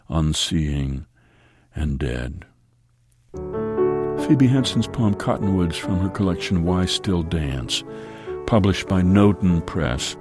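A man hosting a daily radio segment, reading birthdays and a poem in a slow, measured way with falling line ends. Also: sits low. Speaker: radio host.

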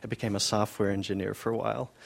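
A man who teaches math, answering in a halting, casual way with repeated words. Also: lowish voice, level tone, creaky, background noise.

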